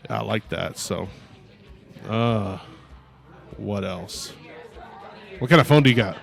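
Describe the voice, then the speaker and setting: Young guy hosting a podcast, booming voice, rambly and laughy, faint music in the back. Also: animated, slow, vocal fry. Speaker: podcast host. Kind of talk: casual chat.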